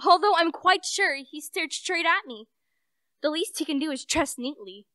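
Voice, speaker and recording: A woman's voice: high-pitched, animated, normal speed, pushed and bright, dry recording. A teenage female student actor playing an indignant, gossipy society wife in a radio play, prim and emphatic.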